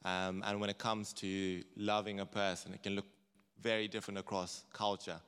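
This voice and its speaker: Male, even and smooth, posing a careful audience question in a reverberant hall. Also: on the low side, moderate pace. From Zimbabwe. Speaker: audience member asking a question. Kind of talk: spontaneous question at a church session.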